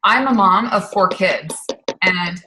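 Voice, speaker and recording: A woman's voice: mid-range voice, takes it slowly, noisy background. A woman in her early thirties, enthusiastic and casual on a group video call. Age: 30s. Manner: enthusiastic, says "dude".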